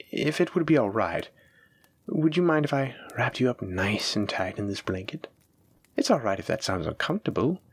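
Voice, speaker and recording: A man playing a doting, gently possessive dragon-blooded librarian, speaking tenderly and coaxingly to his sweetheart in an intimate audio roleplay. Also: lowish voice, husky, heavily acted. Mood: caring, gentle. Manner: tender, coaxing, affectionate, reassuring. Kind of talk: intimate scripted audio roleplay addressed to the listener.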